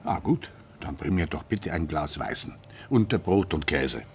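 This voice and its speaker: A man's voice: extremely low pitch, animated, measured pace, a little mellow, slight background noise, full character voice. A man playing a retired police inspector, older, laconic and dryly probing, in a German radio drama. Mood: dry, unruffled. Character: retired Swiss police inspector, dry, unflappable grandfather.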